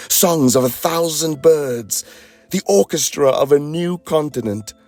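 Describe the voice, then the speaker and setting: Casting: male reader as an awestruck, yearning dragon narrator for poetic fantasy. Low register, very unhurried delivery with dramatic pauses. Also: creaky, husky, full character voice. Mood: awed, joyful. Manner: measured, reflective, dramatic pauses. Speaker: voice-over reader. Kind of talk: scripted dramatic poetry and prose reading.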